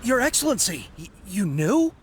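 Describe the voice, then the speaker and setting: A man's voice: high voice, big pitch swings, moderate pace, noisy background. A man playing a fervent, earnest young soldier in a video game, deferential and pleading. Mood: startled.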